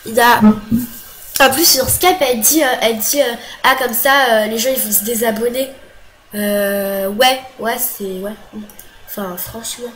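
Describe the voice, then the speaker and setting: Young woman, vlogger, agitated, stumbling fillers, stuffy with a cold, higher voice, faint background noise. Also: fairly even intonation, measured pace, fairly bright tone, poor audio. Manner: agitated, many fillers and restarts. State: has a cold. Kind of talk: casual venting to camera.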